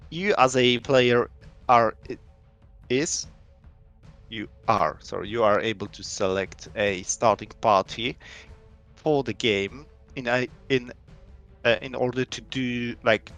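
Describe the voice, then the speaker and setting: A young Polish man talks through his indie game on a live stream, English not his first language, heavy with fillers and restarts. His voice sits in an ordinary middle pitch for a man. Faint music plays softly in the background. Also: takes it slowly, long pauses. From Poland. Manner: rambling, lots of fillers and restarts.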